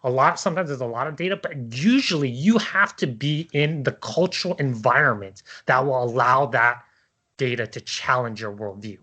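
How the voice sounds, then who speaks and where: Male, bright forceful voice, average pitch; informal debater, discursive, keeps checking the listener agrees. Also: lively intonation, normal speed. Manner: hesitant, discursive, seeks agreement with tag questions. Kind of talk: casual conversational debate.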